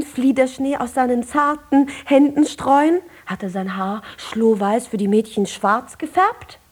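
An actress playing a frail, yearning young woman, once a slave, in a poetic, theatrical and often breathy radio drama style. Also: high voice, darkish tone. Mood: eager, impatient. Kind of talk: literary German radio drama acting.

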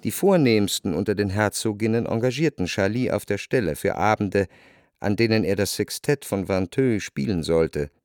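An actor as a measured, reflective narrator reading scripted literary prose in a radio drama. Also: male voice, on the low side, expressive, darkish tone, no room sound, studio quality, close to an everyday voice. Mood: observant.

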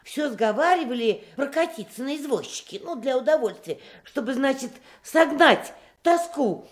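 A woman's voice: high voice, expressive, normal speed. An actress playing a simple, talkative woman witness in a Soviet radio drama, colloquial, hesitant and sympathetic. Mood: pitying.

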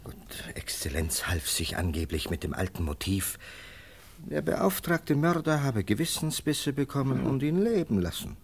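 Husky, officious police lieutenant. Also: male voice, medium pitch, big pitch swings, normal speed, heavily acted. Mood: confidential, measured. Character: male police lieutenant, officious, suspicious, coolly sardonic. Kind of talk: German radio play acting.

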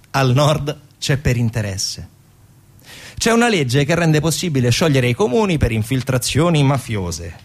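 A man narrating a theatrical documentary monologue in an explanatory way, posing rhetorical questions. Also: a little high. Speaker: stage actor-narrator.

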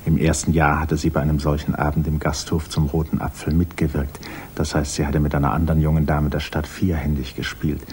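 A man narrating a 1950s German radio drama in a measured, grave literary style over a hissy old recording. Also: rumbling low, monotone, darkish tone.

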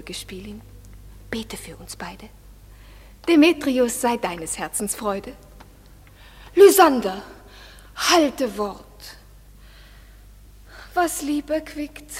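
A woman's voice: a little high, expressive, takes it slowly, pauses often, slightly rough, heavily acted. An actress playing a devoted, steadfast young woman in love, speaking lyrical, fervent verse in a classical radio drama. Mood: confiding. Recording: vintage radio play with music behind.

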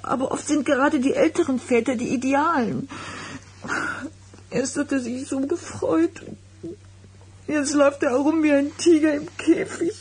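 An actress playing an anxious, hurt pregnant wife in a German radio drama. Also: female voice, high-pitched, fairly even intonation, unhurried, pauses often, darkish tone. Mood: troubled, hesitant.

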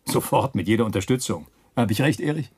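An actor playing a middle-aged Nazi officer in a German radio drama, a smug, pushy schemer who talks in a chummy, insinuating, emphatic way. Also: male voice, mid-range voice, animated, fairly quick, slightly rough. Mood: persuasive, smug. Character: slick, scheming, ambitious Nazi officer, smugly pushy with comrades.

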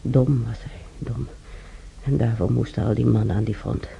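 An actor playing a weary, ailing German recalling wartime in an intimate radio drama, halting and sighing, often muttering close to a whisper, with a gravelly voice. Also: male voice, medium pitch, steady tone, warm tone, dull audio, slight background noise. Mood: sombre, bitter.

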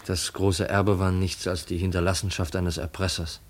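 An actor playing a gloomy but resolute young heir in a 1950s German radio play. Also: male voice, sits low, flat delivery, moderate pace, natural voice. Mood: brooding, resolute.

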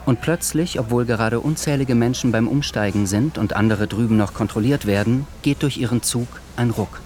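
An actor serving as a sober, observant narrator, reading literary scene descriptions in a radio drama. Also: male voice, on the low side, natural voice.

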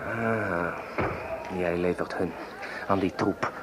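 An actor playing a young, hot-headed French revolutionary in a radio play, with an impassioned, scornful way of speaking. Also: male voice, low-pitched, steady tone, slow pace. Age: young adult. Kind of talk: Dutch radio play acting. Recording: noisy old radio play recording.

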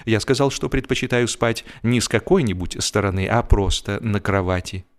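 A man reading humorous fiction as an audiobook narrator, in an expressive, dramatic storytelling manner. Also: fairly low pitch, normal speed. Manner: expressive, acts out the dialogue.

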